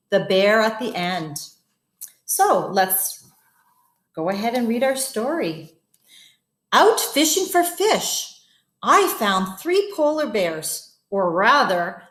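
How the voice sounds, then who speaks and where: A woman with a fairly high voice reads a children's bedtime story at an unhurried pace. She speaks expressively and directly to young listeners. The room sounds noticeably echoey. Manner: addresses children, expressive, asks wondering questions. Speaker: guest bedtime story reader. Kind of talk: read-aloud storytelling for children.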